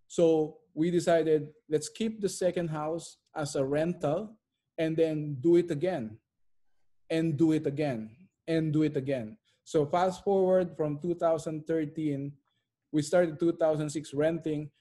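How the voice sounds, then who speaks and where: Middle-aged guy on a video call interview, mellow soft-edged tone, slightly high voice, repeats stuff to make his point. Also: fairly even intonation, unhurried. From immigrant to Canada. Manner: emphatic, repeats phrases for effect. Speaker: interview guest, real estate investor. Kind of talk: conversational interview answers. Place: bright living room at home.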